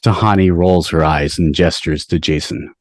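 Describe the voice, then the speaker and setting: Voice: low-pitched, steady tone, normal speed, no echo, clean studio sound. A narrator reading stage directions for an amateur table read on a video call.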